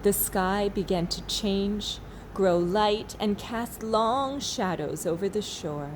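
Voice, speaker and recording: A woman teacher reading a children's storybook aloud expressively, with dramatic pauses. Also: medium pitch, a little hiss or hum, poor audio. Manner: slow, dramatic pauses, asks listeners questions.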